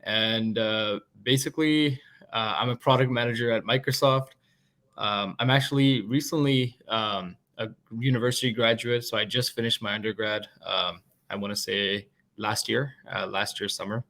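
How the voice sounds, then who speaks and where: A man in his twenties, a podcast guest and product manager, talking in a relaxed, chatty way. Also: on the low side, normal speed. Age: 20s. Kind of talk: casual interview talk.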